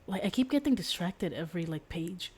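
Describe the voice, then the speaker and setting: A woman's voice: fairly low pitch, animated, fairly quick, over a noisy crowd. A woman streamer chatting casually and ramblingly while a game plays. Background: game music.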